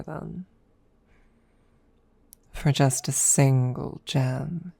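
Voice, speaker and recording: Woman voicing a low, slow, haughty dragon. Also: gravelly, a little mellow, exaggerated voice. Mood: haughty challenge. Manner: haughty, teasing, deliberate with pauses. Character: proud, possessive female dragon, smugly teasing a rival. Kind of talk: ASMR audio roleplay, close whispers.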